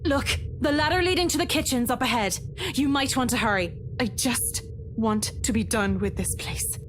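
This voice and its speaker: A woman's voice: a little high, moderate pace, close to an everyday voice. An actress playing a weary, impatient woman guide in a video game, with hesitant delivery and noisy ambience behind her. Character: weary, impatient woman guiding through hidden passages.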